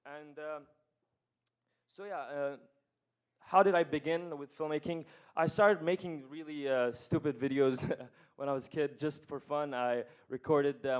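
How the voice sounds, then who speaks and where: A man's voice: fairly high pitch, smooth. A man in his early twenties giving a casual, hesitant student presentation at a conference, with many fillers and restarts. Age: 20s. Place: conference hall.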